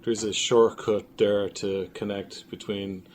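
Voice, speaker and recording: A man's voice: fairly low pitch, little pitch movement, slow, a little mellow. A man speaking casually as a filmmaker being interviewed. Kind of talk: casual chat. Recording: noisy room ambience.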